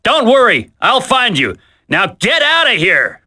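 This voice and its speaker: A man's voice: very high voice, wildly swooping pitch, measured pace, sharp tone, exaggerated voice. An actor playing a cocky, grumbling male commando in a video game, talking in a brash, colloquial way. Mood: urgent. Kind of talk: dramatic video game voice acting.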